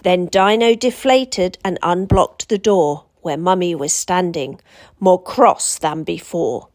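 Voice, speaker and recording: A British woman storyteller reading a children's picture book aloud with an expressive, deliberate, dramatic delivery. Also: on the low side. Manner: deliberate, dramatic rhyming delivery for young listeners.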